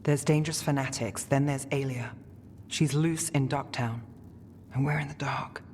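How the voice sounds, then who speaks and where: A man's voice: medium pitch, steady tone, normal speed, darkish tone, slight background noise, lightly acted. A man playing a weary, worried city investigator in a video game cutscene, dry and guarded with a clipped delivery. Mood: grave, focused.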